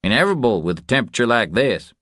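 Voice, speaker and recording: A man's voice: medium pitch, very animated, fast talker, a bit bright, dry recording, studio quality, pitched above the performer's own voice. A man voicing a cocky, wiry ranch hand who needles a newcomer in blunt, folksy, clipped phrases for an audiobook. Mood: probing. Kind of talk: audiobook character dialogue.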